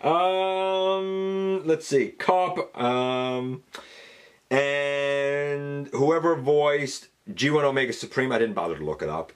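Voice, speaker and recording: A man's voice: fairly high pitch, takes it slowly, clean tone. A man hosting a countdown in a casual, chatty style, emphatic and enthusiastic, rambling as he thinks aloud and corrects himself. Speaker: host of a fan countdown channel.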